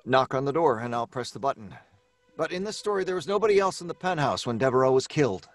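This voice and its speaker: An actor playing a nervous, weary, eccentric novelist in an old-time radio mystery, speaking hesitantly with stammers and self-deprecation. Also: male voice, mid-range voice, animated, fast, no echo, close to an everyday voice. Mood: hesitant, explaining.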